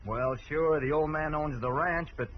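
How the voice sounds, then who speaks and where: An actor playing a defiant, sullen ranch hand with a Western American accent in a 1950s radio drama. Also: male voice, fairly high pitch, normal speed, lightly acted. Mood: sullen, taunting. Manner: sullen, challenging. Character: surly, defiant ranch hand, troublemaking cowboy. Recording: dull, slightly noisy vintage radio recording.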